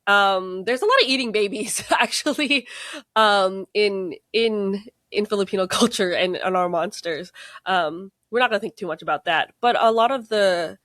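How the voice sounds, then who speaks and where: A woman's voice: medium pitch, normal speed, fairly bright tone, no room sound. Rambling American woman, dry sound. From United States. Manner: rambling, many fillers, laughs often. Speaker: tabletop game co-creator, guest. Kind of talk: casual interview chat.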